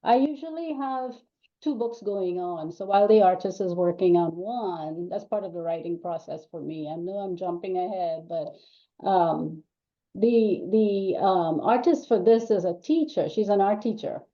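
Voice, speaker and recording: An older woman from Trinidad and Tobago talks as an interview guest over a video call. She wanders through her thoughts in loose runs, with frequent ums and restarts. Her voice sits at an ordinary pitch for a woman, and the audio is slightly dull and lo-fi. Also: measured pace, mellow. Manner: rambling, with many ums and restarts. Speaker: guest author. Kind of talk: casual interview chat.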